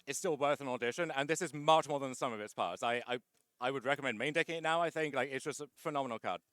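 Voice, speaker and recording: Bright, crisp-voiced man being interviewed. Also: a little high, no echo. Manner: chatty, wry, with many "uh" fillers. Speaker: tournament winner being interviewed. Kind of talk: casual post-match interview.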